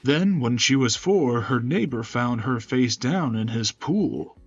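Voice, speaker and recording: A man's voice: medium pitch, animated, slow pace, husky, muffled recording, faint music behind, full character voice. A man voicing a courteous, protective male caregiver in a visual novel, with a folksy manner. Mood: gently explaining.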